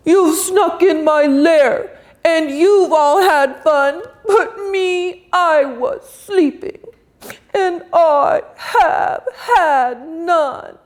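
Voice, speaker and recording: A woman's voice: very high voice, extremely slow, voice pushed up, heavily acted. A woman voicing a sad, sulky male bear in a storybook, talking in a whimpering, blubbering way. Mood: whiny.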